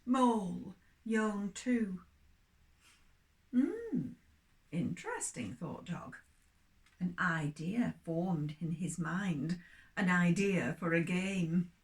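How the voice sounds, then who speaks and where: An older woman narrates a story for young children. She takes her time, stretching words expressively for her little listeners. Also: lowish voice. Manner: expressive, addressing small children, drawn-out words.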